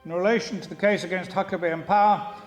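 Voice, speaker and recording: An actor playing a stern judge in a courtroom re-enactment, speaking formally and with authority. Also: male voice, high voice, fairly even intonation, quick pace, lightly acted. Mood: formal, commanding. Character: stern courtroom judge presiding over a criminal trial. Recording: echoing courtroom reverb, music behind.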